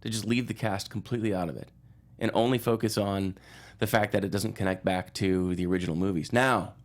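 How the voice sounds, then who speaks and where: A man speaking as a guest film critic in casual movie talk, halting and repeating phrases as he thinks aloud. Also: on the low side, steady tone.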